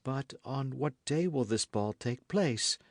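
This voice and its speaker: Man doing a scared stammering queen, pleading, storybook reading, animated pitch, dry sound. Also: slow pace, dry recording. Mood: fearful. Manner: stammering, anxious, imploring. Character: frightened, pleading queen, adult woman. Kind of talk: audiobook character reading.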